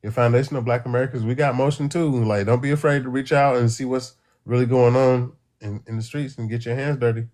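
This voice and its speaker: Man caller talking in halting restarts. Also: mid-range voice, fairly even intonation, a little mellow. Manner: halting, many restarts and fillers, earnest. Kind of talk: casual opinion on a call.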